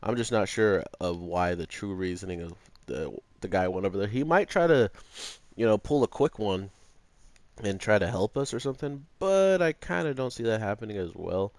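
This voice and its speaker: A man's voice: on the low side, animated, normal speed, darkish tone, no room sound. A man giving informal, unscripted review commentary in a hesitant, meandering way with bits of slang. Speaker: anime review YouTuber.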